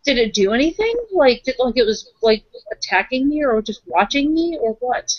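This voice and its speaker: A woman with a fairly high voice plays her character casually in a tabletop roleplay. She thinks aloud and phrases things tentatively. The sound is very dry and a touch muffled. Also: expressive, moderate pace, no room sound. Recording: dry, dull lo-fi audio.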